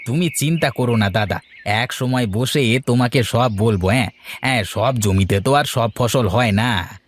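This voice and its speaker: Man acting a chatty village farmer, expressive cartoon voice. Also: medium pitch, unhurried, natural voice. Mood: earnest.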